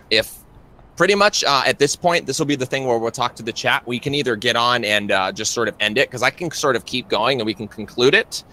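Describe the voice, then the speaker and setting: A man narrating a tabletop roleplaying game as game master, in a casual, halting, improvised way. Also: mid-range voice, pushed and bright. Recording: clearly noisy live stream.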